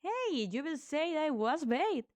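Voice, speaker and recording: A woman's voice: high voice, sing-song, slow pace, no echo, clean studio sound, heavily acted. A woman playing a sardonic, reckless young female mercenary in an amateur anime game fan dub, with a teasing manner. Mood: smug, taunting.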